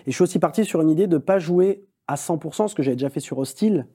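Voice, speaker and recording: A man, a film director, talking in a long interview, quick and animated, with many restarts and fillers. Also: fairly high pitch, breathy edge. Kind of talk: long-form conversational interview.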